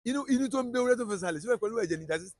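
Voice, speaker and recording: A man's voice: high-pitched, animated, rapid-fire, no echo, clean studio sound. A man with a Yoruba Nigerian accent giving heated political commentary, emphatic and repetitive, often restarting his sentences.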